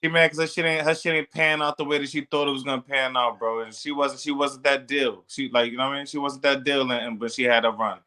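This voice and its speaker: A man's voice: mid-range voice, flat delivery, fast talker, hardly any pauses, sharp tone. A man co-hosting a casual podcast in heavy slang, often repeating his phrases for emphasis. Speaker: podcast co-host.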